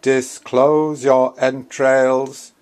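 Male author for a dramatic literary reading: mid-pitched, extremely slow delivery, deliberate and incantatory. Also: level tone, a little mellow, clear, resonant tone. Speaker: author reading own novel.

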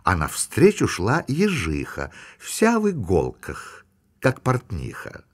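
Slow, expressive male storyteller. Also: fairly low pitch. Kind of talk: rhymed fairy-tale narration for children.

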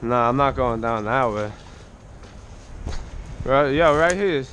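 A man giving casual, excited, slangy commentary while exploring an abandoned church on a head-mounted camera. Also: mid-range voice, slow pace. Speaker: urban explorer vlogger. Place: abandoned church, indoors and outdoors. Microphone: head-mounted action camera. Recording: long reverb in large empty hall, background noise.

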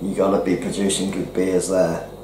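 A middle-aged man with an ordinary mid-range voice, relaxed and easygoing, mostly nodding along with short comments. The recording is echoey, with a clear steady background noise. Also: low-pitched, normal speed. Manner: laid-back, agreeing, short remarks. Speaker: co-host of a beer tasting show. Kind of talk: casual beer tasting chat. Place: home kitchen, indoors. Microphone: fixed camera across the table.

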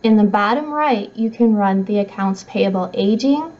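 A middle-aged woman reads scripted tutorial narration in a measured, instructional way. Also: mid-range voice. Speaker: software trainer. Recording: slightly dull, muffled audio.

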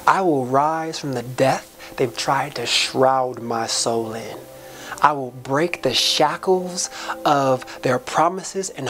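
Woman, deep register, music clearly behind her. Spoken-word artist delivering an inspirational soliloquy, dramatic and colloquial. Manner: impassioned, dramatic, preacher-like delivery with repetition; colloquial, folksy turns of phrase.

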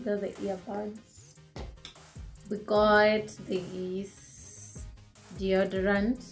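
A young woman with a Kenyan accent talks easily through a haul of household shopping, seated in her living room and facing the camera. Her voice sits at a middle pitch with a conversational lilt. Soft music plays faintly underneath. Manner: chatty, code-switching, shows items to camera. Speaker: vlogger. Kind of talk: casual shopping haul chat.

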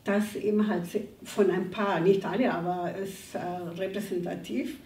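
A woman's voice: lowish voice, fairly even intonation, normal speed, mellow. Woman moderator, echoey room. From abroad, living in Germany 35 years. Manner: hesitant, many fillers, often says "eben halt". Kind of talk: panel discussion at a public reading. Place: bright community room, indoors. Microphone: distant camera mic. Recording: roomy echo, far from the mic.

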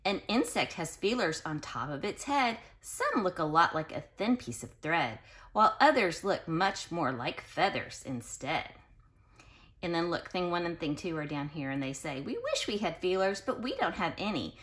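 A woman with a slightly low voice reads a picture book to young children in a teacherly way. Her intonation swings widely and expressively. She enunciates with care and breaks off for explanations and questions to her listeners. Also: sing-song, moderate pace, a bit bright. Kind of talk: read-aloud with explanatory asides to children.

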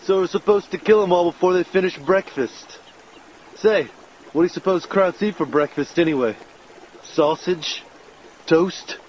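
A man's voice: high voice, moderate pace, dull audio, a little hiss or hum, lightly acted. A man playing a talkative young American paratrooper in a war game, chatty and wry. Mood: wry, musing.

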